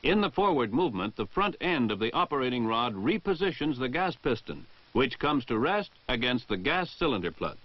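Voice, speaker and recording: An American man narrates in the formal, instructional style of a 1960 military training film. His medium-pitched voice moves with lively inflection and careful, precise enunciation. The sound is a slightly dull old film track with very little room in it. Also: moderate pace, few pauses.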